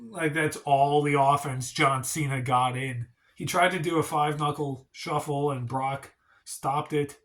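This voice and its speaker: Guy with a stuffed-up nose rambling thru a wrestling review, bright voice, takes his time. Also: fairly high pitch. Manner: slow, rambling, hedging. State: congested from a cold. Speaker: wrestling channel host. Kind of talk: casual review vlog.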